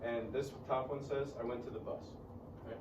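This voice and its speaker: A woman's voice: rumbling low, fairly even intonation, moderate pace, lo-fi sound. A woman leading an informal classroom lesson as a teacher, casually checking understanding with short questions. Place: classroom, indoors. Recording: roomy, distant classroom recording with background noise.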